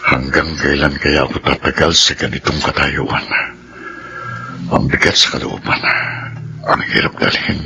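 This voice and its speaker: A middle-aged Filipino man with a deep, creaky voice. He is wary and weighed down, polite but hesitant, in a radio drama with a little noise behind. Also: little pitch movement, measured pace, long pauses, pushed and bright, full character voice. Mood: weary. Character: burdened, wary older stepfather figure, polite and flustered.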